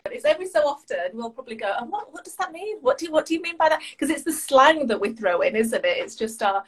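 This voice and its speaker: A woman's voice: high-pitched, very animated, fast talker. A woman with an English accent, a guest on a podcast, chatting enthusiastically over a video call and restarting her words. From United Kingdom. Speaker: guest coach. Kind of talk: casual chat.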